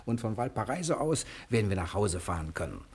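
Determined adventurer, lively swooping voice. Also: male voice, lowish voice, a little fast, husky, heavily acted. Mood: earnest, coaxing. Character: determined adventurous husband, persuasive, posing as a sailor. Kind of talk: German television drama acting.

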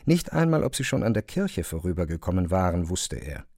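A man narrating a literary radio play from a script in a measured storytelling manner. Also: sits low, very animated, dark tone, dry recording, clean studio sound. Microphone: close studio microphone.

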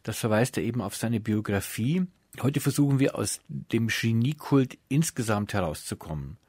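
A man presenting scripted radio introductions and interview questions in a measured, formal way. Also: medium pitch, expressive, a little mellow, no room sound.

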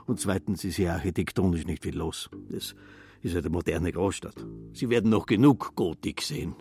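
An actor playing a talkative, self-assured Austrian writer, lecturing pompously in a literary radio play. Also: male voice, low voice, moderate pace, a little mellow, faint music behind, lightly acted. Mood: lecturing, sociable. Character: talkative, self-assured Austrian know-it-all travelling writer, Rudolf Schmitz.

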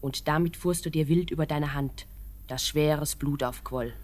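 Woman acting a young sweetheart in an old radio play, lowish voice, earnest and pleading. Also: measured pace.

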